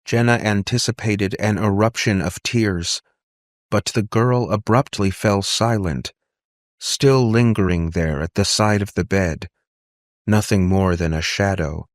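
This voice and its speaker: A man's voice: fairly low pitch, a little slow, dry recording, clean studio sound. A man reading scripted fiction as an audiobook narrator.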